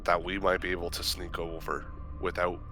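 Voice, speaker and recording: Deep voiced guy at an rpg session, bright edge, chatty, faint music. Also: little pitch movement, fairly quick. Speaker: tabletop game player. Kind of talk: casual group game chat.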